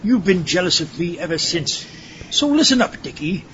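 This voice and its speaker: A man acts out a grandiose, contemptuous mad scientist from a comedy audio drama. His fairly high voice swings widely and drips sarcasm. The recording is slightly dull. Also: very animated, normal speed, a bit bright. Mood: scornful boasting. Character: pompous, vain male mad scientist, gleefully contemptuous. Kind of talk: comic audio drama acting. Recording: dull lo-fi recording, faint noise.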